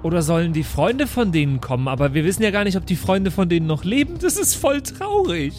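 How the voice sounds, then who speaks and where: A high-pitched, naive young woman played by a man in an improvised audio drama. She is soft-hearted and rambles through her hesitant questions, with faint music behind her. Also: very animated, brisk. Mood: worried, puzzled.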